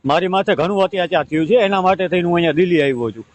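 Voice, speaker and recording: A man's voice: high-pitched, rapid-fire. A man with a Saurashtra Gujarati accent pleading insistently and repeating his request on a recorded phone call. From Gujarat, India. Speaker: caller seeking help.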